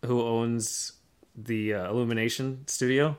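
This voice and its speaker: A man's voice: medium pitch, steady tone, a little slow, clear, resonant tone. A man hosting a podcast in a casual panel discussion, talking in an explanatory way and posing rhetorical questions. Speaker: podcast host.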